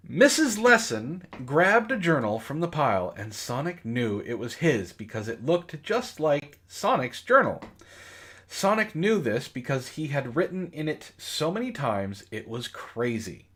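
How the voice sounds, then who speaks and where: Guy reading cringe story out loud, voice all over the place, swears and gripes as he goes. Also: on the high side, sing-song, a little slow, breathy edge. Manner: swears, breaks off to react in exasperation. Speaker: host of a comedy book club reading. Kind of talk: casual read-aloud of bad fan fiction with amused commentary.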